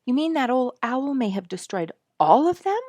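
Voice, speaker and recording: A woman's voice: high-pitched, very animated, normal speed, a little mellow, no room sound, voice pushed up. A woman storyteller voicing an emotional, self-deprecating and devoted mother in an earnest, dramatic way. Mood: anxious.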